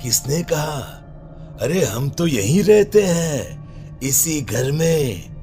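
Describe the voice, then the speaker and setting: An eerie house owner from a horror cartoon played by a man. He speaks very slowly in a dark, mellow tone, oddly familiar, over faint music. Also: a little high, big pitch swings, exaggerated voice. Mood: eerily calm. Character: eerie vanished master of a haunted house. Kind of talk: Hindi horror cartoon dialogue.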